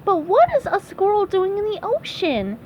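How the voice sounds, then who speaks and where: A very high, swooping, childlike cartoon sponge, innocent and courteous to everyone as a woman acts him out from a storybook. He sounds wide-eyed and bewildered, on a dull, noisy recording. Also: big pitch swings, normal speed, a little mellow. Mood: polite, puzzled. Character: childlike, polite, bewildered male cartoon sea sponge with amnesia.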